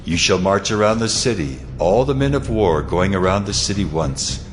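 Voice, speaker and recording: A man's voice: sits low, lively intonation, moderate pace. An actor playing God in an audio Bible drama, majestic and authoritative as he gives solemn orders. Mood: majestic, commanding. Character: God, solemn almighty male divine being giving orders. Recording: long hall reverb, music behind.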